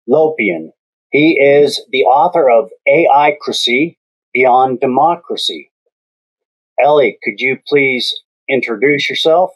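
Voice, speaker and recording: A man hosting a podcast interview, speaking in a slow, measured way with long pauses. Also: mid-range voice, highs cut off. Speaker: podcast host.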